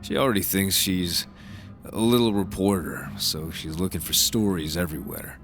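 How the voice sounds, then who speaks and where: A jovial middle-aged family man who sells insurance for a radio drama. His voice is deep, and he talks bluntly with folksy jokes. There is faint music in the background. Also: moderate pace, a bit bright. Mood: sheepish, explaining.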